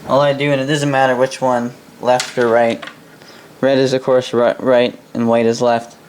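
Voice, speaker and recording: A young adult man with a Canadian accent giving a casual, unscripted hobby demonstration in a halting, rambling way, often repeating words. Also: mid-range voice, level tone, darkish tone, rough recording. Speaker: vintage radio hobbyist and host. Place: indoor workbench in a room. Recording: home video with faint background noise.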